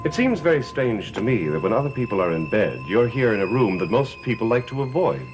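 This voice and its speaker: A man's voice: medium pitch, very animated, brisk, music in the background, close to an everyday voice, poor audio. A man acting a guarded, intense, cursed heir in a gothic television soap, with an earnest, questioning, dramatic delivery. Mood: challenging.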